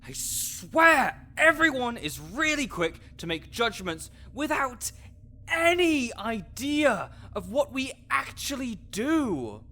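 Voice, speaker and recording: A man's voice: very high voice, sing-song, takes it slowly, sharp tone, full character voice. A man playing a grouchy, scholarly necromancer in an audio roleplay, condescending, pedantic and sarcastic. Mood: exasperated lecturing. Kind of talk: audio roleplay acting addressed to the listener.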